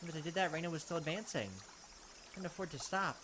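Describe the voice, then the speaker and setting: Brief: quick-talking man reading a visual novel and joking about it. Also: fairly high pitch, noisy background. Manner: animated, wry asides between narration. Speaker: let's play host. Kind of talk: reading game text aloud and commenting. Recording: game audio with loud cricket ambience.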